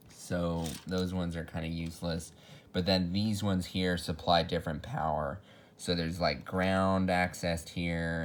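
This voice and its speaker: A man giving a casual, unscripted technical walkthrough in a matter-of-fact, explanatory way, as a hobbyist showing equipment. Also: sits low. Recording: noticeable background noise with clicks.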